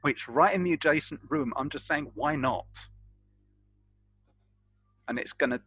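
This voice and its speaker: A man's voice: fairly high pitch, unhurried, a bit bright, husky, no room sound, clean studio sound. A man playing a captain in a tabletop role-playing session, speaking in an insistent, argumentative way.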